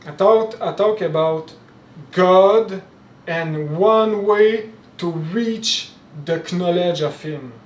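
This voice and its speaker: French-Canadian man lecturing in an echoey room. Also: sits high. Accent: Québécois French. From Quebec, Canada. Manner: halting, frequent fillers and restarts. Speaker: spiritual teacher. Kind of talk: informal spoken lecture. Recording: roomy echo, mic at a distance, faint ambience.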